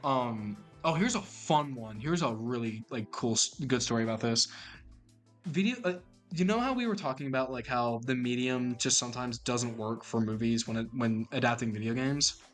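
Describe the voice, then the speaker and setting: Joking, animated podcast co-host man. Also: medium pitch, normal speed, faint music behind. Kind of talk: casual podcast chat.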